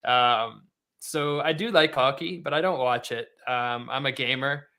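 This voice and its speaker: A Canadian-accented man talks as a guest on a livestream. His voice sits at a middle pitch with a bright, crisp-edged tone, and his delivery is casual, chatty and easygoing. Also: measured pace. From born in Canada, grew up in Australia. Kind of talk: casual chat.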